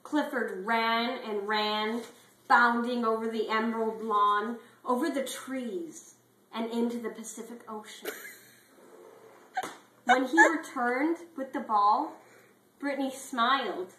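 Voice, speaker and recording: Slow, bright-voiced woman reading dramatically. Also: on the high side, fairly even intonation. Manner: deliberate, dramatic pauses. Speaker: story reader. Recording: echoey room with background noise.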